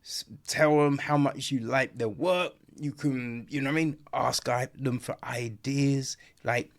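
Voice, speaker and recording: A man's voice: medium pitch, fairly even intonation, a little slow. A man giving a casual, unscripted film review as a podcast host, rambling and repeating himself, with frequent check-in tags and informal, chummy phrasing. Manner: rambling, repetitive, peppered with "right?" and "you know"; informal, chummy address, mild swearing.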